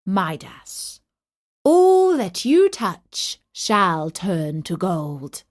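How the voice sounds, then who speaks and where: Woman acting as male wine god, generous, assured, declamatory, animated intonation, unhurried, storybook style. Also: lowish voice, full character voice. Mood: solemn. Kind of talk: children's storybook character reading.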